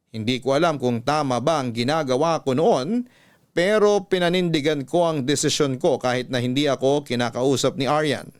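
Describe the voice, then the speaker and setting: Higher-pitched Filipino male radio storyteller. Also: clear, resonant tone. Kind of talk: scripted dramatic narration of a listener's letter. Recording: music behind the voice. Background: background music.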